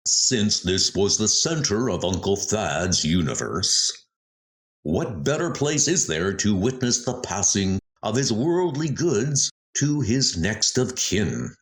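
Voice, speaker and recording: A man's voice: fairly low pitch, very animated, takes it slowly. Man acting out a gloating, scheming murderous heir. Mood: smug mocking. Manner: theatrical, gloating. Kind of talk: comic dub horror acting.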